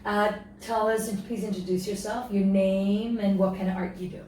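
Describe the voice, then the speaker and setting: A woman's voice: medium pitch, level tone, mellow. Effusive woman host in an echoey room. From Switzerland. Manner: effusive, chatty, quick reactions. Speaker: podcast host. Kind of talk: casual interview. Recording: echoey room, distant mic, faint noise.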